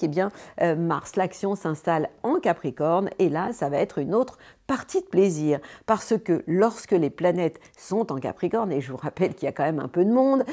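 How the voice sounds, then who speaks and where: Chatty woman, mellow and low. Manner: chatty and bubbly, many fillers and self-corrections. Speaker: astrologer, channel host. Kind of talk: casual weekly horoscope talk.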